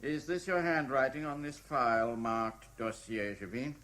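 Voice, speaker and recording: An actor plays a formal, stern court magistrate in a 1970s radio drama. His delivery is clipped and his tone sharp and forceful. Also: mid-range voice, steady tone, measured pace, natural voice. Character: stern, formal examining magistrate questioning an accused.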